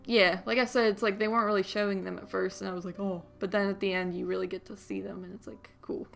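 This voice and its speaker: A woman's voice: medium pitch, flat delivery, fast, creaky. A woman co-hosting a movie podcast, chatting casually and giving understated, rambling film reviews over music.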